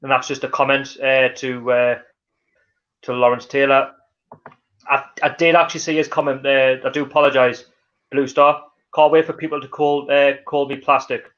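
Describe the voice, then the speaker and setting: A man's voice: medium pitch, steady tone, normal speed, a bit bright, dull audio. A man with a Geordie accent hosting a casual live stream, reading out viewer comments and reacting with lots of fillers. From North East England. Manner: reads viewer comments aloud and reacts, chatty with many fillers. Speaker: livestream host.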